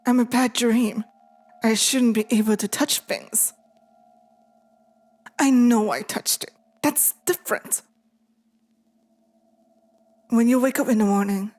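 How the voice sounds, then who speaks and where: A woman's voice: a little high, a little mellow. A woman playing a possessive, taunting female demon in an intimate ASMR roleplay, speaking to the listener in a teasing, menacing way. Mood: startled, unsettled.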